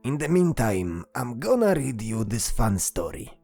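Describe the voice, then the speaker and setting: A man voicing a gentle old monster uncle who talks soothingly to a child in a fantasy audio drama, with a husky voice. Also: medium pitch, big pitch swings, full character voice. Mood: kindly, unhurried. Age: older. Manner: soothing, reassuring, speaks slowly to a child. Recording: music behind the voice.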